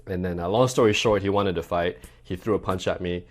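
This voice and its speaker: A man with a low voice hosts a podcast in a relaxed, chatty way. He asks curious questions and slips into long personal stories. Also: a little fast. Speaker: podcast host. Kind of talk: casual interview and storytelling.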